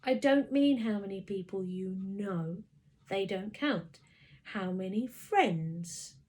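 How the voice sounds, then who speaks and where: A woman's voice: mid-range voice, animated, takes it slowly, darkish tone, slight background noise. A woman voicing a bold, insistent young girl who talks in a direct, matter-of-fact way.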